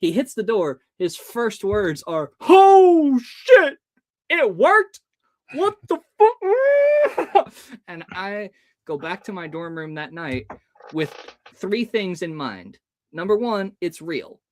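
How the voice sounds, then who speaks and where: Animated American man telling stories. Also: high-pitched, slow pace. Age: early 30s. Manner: expressive, acts out dialogue as he goes. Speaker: podcast guest, hypnotist. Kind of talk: animated personal storytelling.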